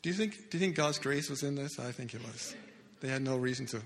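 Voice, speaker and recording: A man in his sixties, not a native English speaker, telling his life story earnestly as a testimony in church, with halting restarts. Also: medium pitch. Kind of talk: personal testimony talk. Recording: echoey hall with long reverb.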